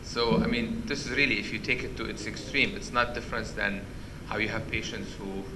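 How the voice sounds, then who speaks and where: A man with a loud voice giving an interactive medical lecture, quizzing the audience and restarting his sentences often. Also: on the low side, fairly even intonation, fairly quick, background noise. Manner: questions the audience, many restarts. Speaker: physician lecturer. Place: conference hall.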